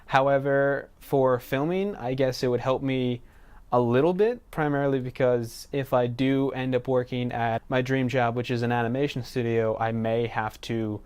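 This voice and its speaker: Smooth-voiced young man. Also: medium pitch, moderate pace. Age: 20s. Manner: relaxed, conversational, with casual fillers. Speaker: student interviewee. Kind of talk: documentary interview answers.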